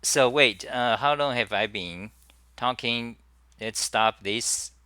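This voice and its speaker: A man's voice: medium pitch, takes it slowly, sharp tone, clear, resonant tone, no echo, clean studio sound. A middle-aged man, hosting a casual live stream and rambling through his topics with many restarts and fillers. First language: Chinese. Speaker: livestream host.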